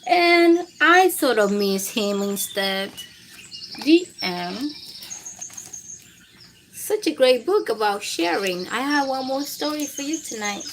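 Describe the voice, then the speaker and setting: A woman's voice: high-pitched, lively intonation, slow pace, a bit bright. A woman hosts a read-aloud for young children. Her delivery swings up and down expressively and takes its time. There is noticeable background noise with chirping. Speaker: children's storytime host and reader.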